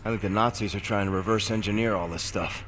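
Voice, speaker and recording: A man playing a gruff, guarded soldier in a video game, speaking in terse, clipped phrases. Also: fairly low pitch, fairly even intonation, fast, a bit bright, slight background noise, close to an everyday voice. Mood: focused, wary.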